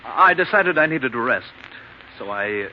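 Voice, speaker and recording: A man's voice: medium pitch, animated, moderate pace, lightly acted. An actor in a 1940s radio drama playing an anguished, guilt-ridden man who is mentally unraveling, stammering and melodramatic. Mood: flustered, evasive. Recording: muffled, noisy 1940s radio recording.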